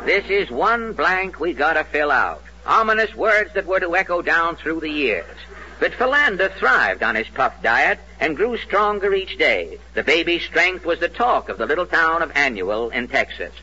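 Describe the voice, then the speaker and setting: Bright, declamatory American radio narrator. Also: male voice, fairly high pitch, sing-song, moderate pace, slight background noise. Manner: mock-solemn, declamatory. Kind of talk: 1940s scripted comic narration. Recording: dull old radio broadcast.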